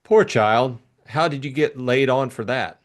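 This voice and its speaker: A man's voice: mid-range voice, unhurried, lightly acted. A man voicing a languid English lord and father who talks in a fretful, exclamatory drawl. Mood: astonished, worried.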